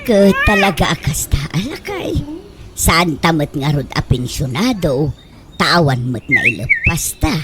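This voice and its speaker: A woman's voice: huge pitch swings, unhurried, heavily acted. A woman playing an exasperated, scolding older wife in an Ilocano comedy radio drama. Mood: insistent. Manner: scolding, complaining. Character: nagging, exasperated elderly wife of a lazy husband. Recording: very noisy, vehicle-like background rumble.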